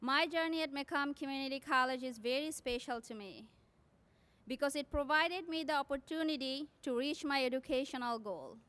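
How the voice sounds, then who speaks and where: A woman's voice: sits high, normal speed, a bit bright. A woman from South Asia whose first language is not English, a graduating nursing student giving an earnest prepared commencement speech.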